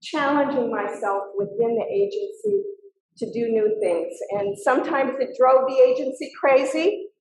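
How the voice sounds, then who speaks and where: A woman's voice: sits high, lively intonation, moderate pace, a little mellow, echoey room, rough recording. An older woman with a small, girly voice, a retired scientist candidly recalling her career with many fillers. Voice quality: little girly voice. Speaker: guest speaker, retired soil scientist. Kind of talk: personal career story in a talk.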